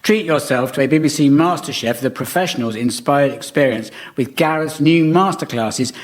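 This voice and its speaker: A man's voice: fairly high pitch, moderate pace. A man on a comedy panel show, bantering and grumbling bluntly as a comedian guest. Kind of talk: comic panel banter and rants. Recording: noisy live comedy show recording. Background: audience laughter.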